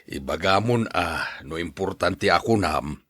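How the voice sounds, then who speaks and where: A man's voice: low-pitched, expressive, measured pace, fairly bright tone, dry recording, studio quality, full character voice. An actor playing a cautious, no-nonsense older village man in a radio drama. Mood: wary, gruff.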